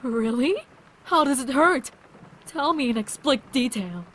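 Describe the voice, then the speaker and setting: Woman as wry motherly survivor, higher voice. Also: animated, a little slow, fairly bright tone, a little hiss or hum. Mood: curious, casual. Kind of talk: dramatic voice acting in audio drama.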